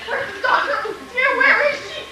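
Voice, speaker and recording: A woman playing a frantic female clown patient who yells and wails for the doctor, recorded from a distance in a roomy, noisy space. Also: very high voice, moderate pace. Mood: panicked. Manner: shrieking, panicky yelling.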